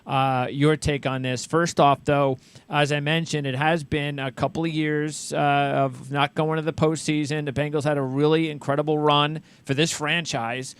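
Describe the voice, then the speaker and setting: An American man with a slightly high voice hosts a sports interview show. He talks in an enthusiastic, conversational way, winding through long questions before he lands on the point. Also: flat delivery, normal speed, clean tone. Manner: wordy, rambling questions.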